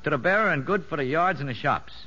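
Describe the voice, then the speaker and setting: An actor playing a shrewd, streetwise convict ringleader in a 1950s radio drama, sly and slangy. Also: male voice, a little high, lively intonation, fast talker, pushed and bright. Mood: sly, confiding. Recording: echoey, dull vintage radio recording.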